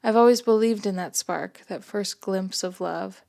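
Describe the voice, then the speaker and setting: A woman reading her own first-person story aloud in a gentle, soft-spoken way. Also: mid-range voice, a little slow, gravelly. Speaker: author and narrator.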